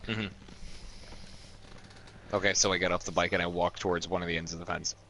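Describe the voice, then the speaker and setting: A man's voice: sits low, flat delivery, brisk, sharp tone, slight background noise, poor audio. A man chatting casually as a player in a tabletop role-playing game.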